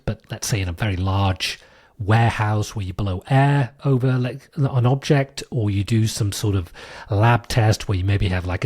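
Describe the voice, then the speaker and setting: A British man with a lowish, husky voice, worn hoarse by a cold, talks through a technical subject alone for his podcast. He thinks aloud as he goes, pausing on fillers and circling back to restart his sentences. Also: a little mellow. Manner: thoughtful, with frequent fillers and restarts. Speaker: podcast host. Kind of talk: solo explanatory podcast monologue.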